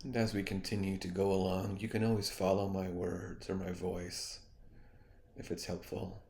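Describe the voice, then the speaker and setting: Man, meditation guide, gravelly low register, soothing, gently suggestive, lingering pauses. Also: flat delivery. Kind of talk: guided meditation, hypnotic spoken guidance.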